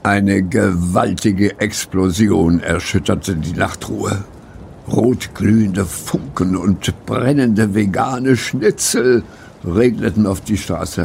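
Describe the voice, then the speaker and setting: A man playing a grave, melodramatic, gravelly narrator of a mystery audio play. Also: lowish voice, darkish tone, a little hiss or hum, full character voice. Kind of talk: scripted dramatic narration.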